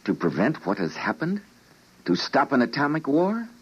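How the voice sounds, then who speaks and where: A troubled earnest doctor in an old radio play, very expressive pitch, muffled recording. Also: male voice, normal speed, husky. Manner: dramatic, earnest, incredulous. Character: earnest, principled male doctor, troubled and indignant. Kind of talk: old-time radio drama acting.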